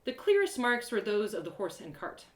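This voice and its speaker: A woman playing an excitable young heroine who tells her own woodland adventure in a theatrical, self-deprecating audio drama style. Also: medium pitch, moderate pace, reverberant, lightly acted. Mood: cautious. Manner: theatrical, breathless exclamations, gasps, self-deprecating humour. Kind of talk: one-woman audio drama storytelling, first person.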